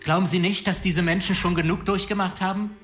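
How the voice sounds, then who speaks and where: Curt, scolding prison guard. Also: male voice, high voice, fairly even intonation, a little fast, a bit bright. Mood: indignant. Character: stern, indignant prison guard. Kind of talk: German radio play acting.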